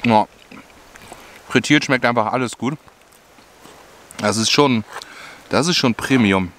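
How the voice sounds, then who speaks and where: A man's voice: medium pitch, takes it slowly, a bit bright. A young man chats casually and easygoingly to viewers while cooking outdoors, thinking aloud in colloquial speech. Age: young adult. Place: outdoors in a forest. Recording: clearly noisy outdoor background.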